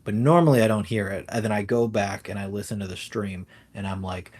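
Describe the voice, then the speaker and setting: Man, slight lisp, somewhat low pitch, worn voice, casual stream chat, self-deprecating. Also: steady tone, brisk, darkish tone. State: voice worn from talking. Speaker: guest.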